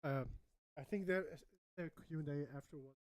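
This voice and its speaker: A man, a composer and guest, chatting casually on a livestream in a halting, self-effacing way. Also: fairly high pitch, dry recording. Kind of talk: casual self-introduction and chat.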